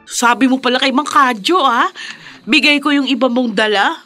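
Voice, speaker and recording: An actress playing a chatty, upbeat young best friend, slangy and exclamatory, in Filipino radio drama style. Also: female voice, high voice, moderate pace, over music, close to an everyday voice. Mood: excited, teasing.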